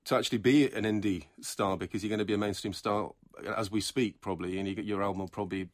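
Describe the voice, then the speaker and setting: A man's voice: lowish voice, steady tone, quick pace, dry recording. A man hosting a late-night radio show in a studio, chatting with a guest and summarizing her answers in German. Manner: chatty, leading questions with trailing tags. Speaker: radio host. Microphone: studio microphone.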